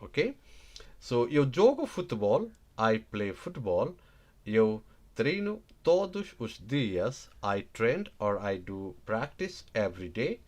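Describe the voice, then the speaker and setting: A man leads a live online language class at an unhurried pace, his voice swinging widely in pitch as he pushes key words up with sudden loud emphasis. He says each example phrase slowly, then checks in with a quick "right?". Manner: animated, stresses words with sudden loud high jumps; repeats example phrases slowly and asks "right?" after them. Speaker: language teacher.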